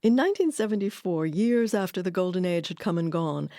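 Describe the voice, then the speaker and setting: A woman's voice: on the low side, lively intonation, brisk, dark tone, dry recording, clean studio sound. A woman reading scripted documentary narration. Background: music.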